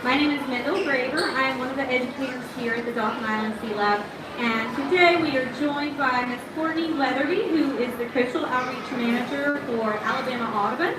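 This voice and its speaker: Woman educator opening a talk, echoey. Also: high voice. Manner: friendly, a bit halting, with ums. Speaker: aquarium educator introducing a speaker. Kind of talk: introduction at a public talk. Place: room at an aquarium. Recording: echoey room, distant mic, background noise.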